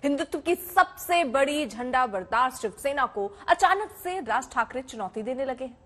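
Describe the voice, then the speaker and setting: A female news anchor delivers a scripted report. Her voice sits high and moves in lively, animated swings of pitch. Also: moderate pace.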